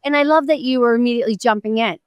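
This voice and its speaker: A woman's voice: fairly high pitch, rapid-fire, smooth, no echo, lo-fi sound. A middle-aged woman with an American accent hosting an interview in a casual, informal and enthusiastic way. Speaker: interview host.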